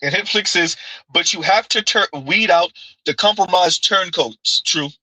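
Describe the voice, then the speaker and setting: Guy on a live stream reading chat, bright punchy voice, keeps saying right?, laughs a lot, dry close mic. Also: high-pitched, unhurried, no echo, low-quality recording. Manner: conversational, repeats "right?", laughs often. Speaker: streamer reading viewer comments. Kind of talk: casual live-stream commentary.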